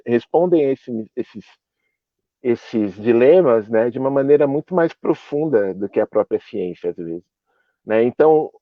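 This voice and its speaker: Slow, thoughtful Brazilian man. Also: medium pitch, no room sound, phone-quality sound. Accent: Brazilian Portuguese. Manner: reflective, explanatory, often checking in with the listener. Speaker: panel speaker. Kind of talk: explaining ideas in an online panel talk.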